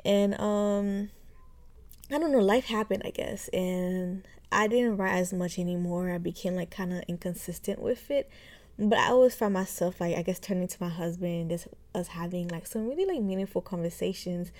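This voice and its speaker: A woman's voice: lowish voice, normal speed, a little mellow. A woman in her twenties from Haiti, hosting a casual podcast intro and talking chattily with many fillers. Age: 20s. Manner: chatty, many fillers like "like" and "um", frequent light laughs. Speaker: podcast host.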